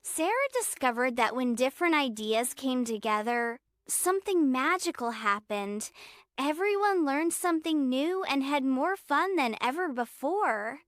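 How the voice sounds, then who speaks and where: A woman narrating a children's moral story in a gentle, even storybook manner. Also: high voice, measured pace, no room sound, clean studio sound.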